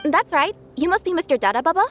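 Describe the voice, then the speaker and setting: A woman's voice: extremely high pitch, lively intonation, quick pace, a bit bright, soft background music. A woman voicing a cheerful young female cat-spirit courier who speaks politely and stammers when nervous. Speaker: delivery courier. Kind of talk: video game voice acting.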